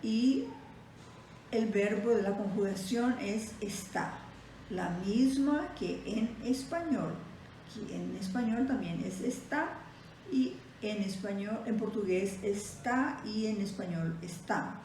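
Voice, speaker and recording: A woman teaching a slow, deliberate grammar lesson, reading out example sentences and setting Portuguese beside Spanish. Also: medium pitch, warm tone, recorded from a distance. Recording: very echoey room, voice set back from the mic, faint noise.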